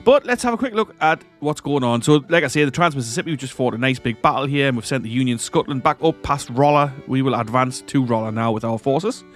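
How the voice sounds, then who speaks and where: A man's voice: medium pitch, fast talker, few pauses, faint music behind. A man with a stuffy nose from a cold, giving casual commentary over a strategy game. He rambles, corrects himself and chuckles often. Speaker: strategy game let's-play host.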